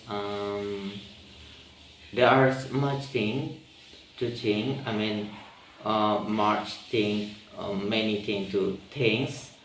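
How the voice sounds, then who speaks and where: A man with an Indonesian accent, speaking English as a second language, talking slowly and haltingly, searching for words with many pauses and ums. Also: mid-range voice, slight background noise. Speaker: vlogger practicing spoken English. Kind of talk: reflective solo monologue. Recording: roomy echo, mic at a distance.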